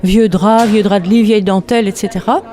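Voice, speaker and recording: A woman from Belgium, a textile artist chatting relaxed in an interview, laughing often between hesitant fillers. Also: mid-range voice, fairly quick. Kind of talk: casual interview.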